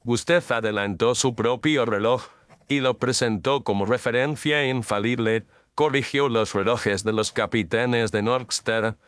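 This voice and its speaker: A man playing a composed, incisive detective in an audio drama, speaking formally and with measured declamation. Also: lowish voice, a bit bright, clear, resonant tone, no room sound.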